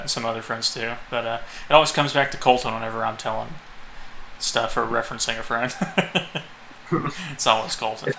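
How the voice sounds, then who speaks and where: A man co-hosting a podcast in casual, halting banter, often breaking into chuckles. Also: mid-range voice, creaky, bright, forceful tone, poor audio. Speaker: podcast co-host. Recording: faint background noise.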